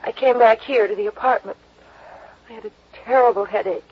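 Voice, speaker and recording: An actress playing a dazed, frightened young woman in a 1950s radio drama, halting and trailing off, on a dull old broadcast recording. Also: a little high, husky. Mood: dazed, shaken.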